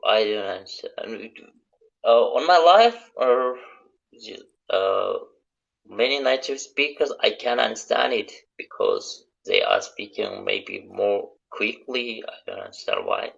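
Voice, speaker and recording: A man speaks halting English as a second language, pausing often and searching for words. His voice is low and gravelly, and he talks slowly. The audio is dull and lo-fi, as on a remote call. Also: big pitch swings, a bit bright. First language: not English. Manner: hesitant, halting non-native English. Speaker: live-stream guest, hotel receptionist. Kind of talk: casual live chat.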